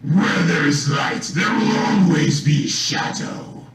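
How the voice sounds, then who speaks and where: Dark villain spirit, pitch-altered and demonic, grandiose slow delivery, gravelly, roomy sound. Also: male voice, distant mic, faint music behind, heavily acted. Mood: ominous. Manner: slow, grandiose, ominous declaiming. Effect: pitch-shifted and layered, some echo.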